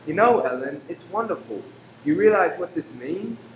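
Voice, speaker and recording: An actor in a radio play playing a landlady's hopeful, excited husband. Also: fairly high pitch, moderate pace, natural voice. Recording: roomy echo with faint background noise.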